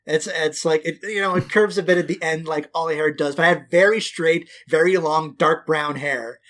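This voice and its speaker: A man's voice: a little high, steady tone, moderate pace, a bit bright. Rambling, profane man from West Quebec. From West Quebec, Canada. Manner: rambling, profane, self-deprecating storytelling. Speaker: podcast co-host. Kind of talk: casual podcast chat.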